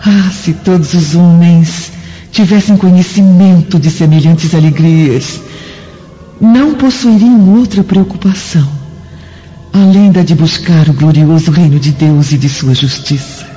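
Devout female spirit played by an actress, reverent, tender, mellow tone, solemn religious radio drama, muffled recording. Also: on the low side, a little slow, lightly acted. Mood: reverent joy. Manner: reverent, earnest. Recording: dull radio drama recording, music behind.

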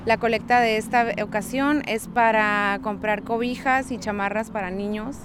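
A woman's voice: a little high, steady tone, normal speed. A woman with a Mexican accent explaining as a community fundraiser in an unscripted interview, conversational and often checking for agreement. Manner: conversational, seeks agreement with tag questions. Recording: noisy outdoor street with passing vehicles.

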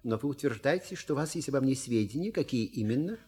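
A man's voice: mid-range voice, animated, fast talker, dark tone, slightly rough. A man playing a suave middle-aged valet in an audio stage comedy, formal and deferential with dry irony. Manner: formal, deferential, drily ironic.

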